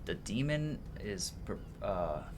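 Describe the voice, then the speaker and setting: A man's voice: lowish voice, very animated, slow, a little hiss or hum. A man live streaming a game, reading dialogue aloud and chatting in a casual, slangy way.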